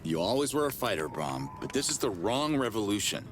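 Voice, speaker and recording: An actor playing a blunt, wry human hero in a video game cutscene. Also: male voice, lowish voice, big pitch swings, fairly quick, slight background noise. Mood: persuasive. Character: rugged human hero, blunt, wry soldier helping villagers.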